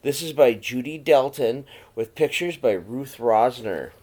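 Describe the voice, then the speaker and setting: A man reading a children's picture book aloud like a teacher, with expressive, dramatized storytelling delivery. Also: medium pitch, unhurried. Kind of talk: read-aloud storybook narration.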